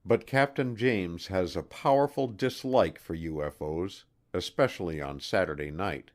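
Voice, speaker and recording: A man's voice: deep voice, a little slow. A man reading a nonfiction audiobook as scripted narration. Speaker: audiobook reader.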